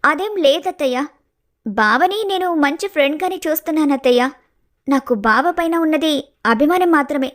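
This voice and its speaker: Woman voicing a high-pitched sorrowful young village woman. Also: expressive, moderate pace. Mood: subdued. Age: young adult. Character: gentle heartbroken young village woman hiding her love. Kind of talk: animated family story dialogue.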